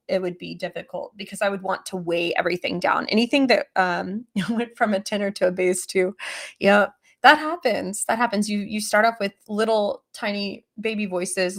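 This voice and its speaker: A young adult woman chatting casually on a livestream, rambling with many fillers, her voice lower than usual today. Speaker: streamer answering chat.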